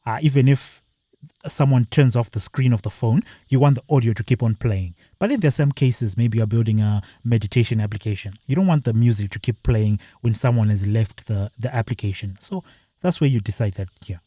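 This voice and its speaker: A man presenting a screen-recorded software tutorial, explaining each step plainly with the odd filler. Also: medium pitch, a little fast, no room sound, studio quality.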